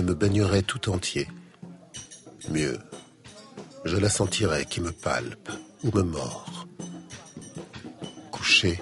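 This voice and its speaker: Deep, slow man reciting over music. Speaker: reader. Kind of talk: literary poetry recitation.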